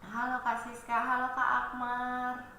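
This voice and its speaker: A woman's voice: on the high side, monotone, a little slow, pushed and bright. A woman hosting a live stream with a stuffy nose from a cold, chatting casually and greeting viewers by name as she reads their comments aloud. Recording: very echoey room with noise.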